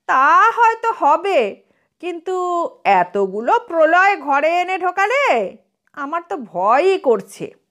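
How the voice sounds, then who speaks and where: Woman playing a high-voiced, harried, frail mother. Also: very animated, slow, fairly bright tone, full character voice. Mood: weary, fearful. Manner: weary, plaintive complaining. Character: frail, skinny, harried, long-suffering mother of four boys.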